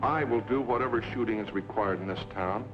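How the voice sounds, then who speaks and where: Stern low-voiced frontier lawkeeper played by a man. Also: fairly quick, lightly acted. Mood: commanding. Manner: firm, formal, authoritative. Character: stern, commanding frontier town gunman. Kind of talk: old-time western radio drama acting. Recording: muffled old radio recording with music.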